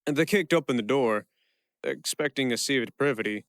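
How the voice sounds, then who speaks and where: A man playing a quick-witted prisoner who narrates his own tale in a first-person audio play. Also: medium pitch, fairly bright tone, no echo, studio quality, close to an everyday voice. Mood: relishing storyteller.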